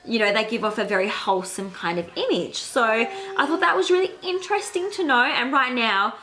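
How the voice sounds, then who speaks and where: A woman's voice: a little high, brisk, bright, forceful tone. A woman in her thirties with a cold that makes her sound nasal, casually explaining an astrology reading with many fillers. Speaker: astrology vlogger. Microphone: handheld camera.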